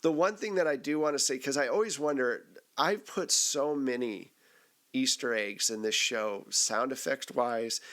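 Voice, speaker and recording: A man with a medium-pitched voice hosts a casual podcast debrief. He talks conversationally and jokes easily, like a game master chatting with his players. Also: normal speed, a bit bright.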